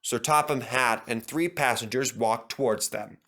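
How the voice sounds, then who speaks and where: A man's voice: medium pitch, moderate pace, pushed and bright. A man narrating a scripted children's story.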